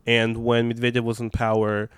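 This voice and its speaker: Male interviewee raised in Russia, Russian native, smooth clear tone, dry close recording, hesitant and thoughtful delivery. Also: mid-range voice, completely flat delivery, normal speed, no echo. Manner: thoughtful, frequent ums and restarts. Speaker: podcast guest. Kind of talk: interview conversation.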